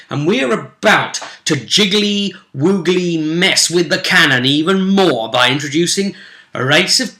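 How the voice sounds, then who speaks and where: A man casually rambling through a recap of a tabletop role-play session as its game master, jokey and animated, often interrupting himself. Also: medium pitch. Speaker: game master and vidcast host. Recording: echoey, roomy sound.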